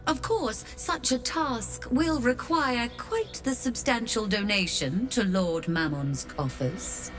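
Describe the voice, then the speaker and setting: Slow, haughty infernal merchant. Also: female voice, a little high, lively intonation, soft background music. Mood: shrewd, haughty. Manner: measured, theatrical, haughty. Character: shrewd, imperious infernal merchant woman, latchkeeper of Hells. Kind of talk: video game character acting.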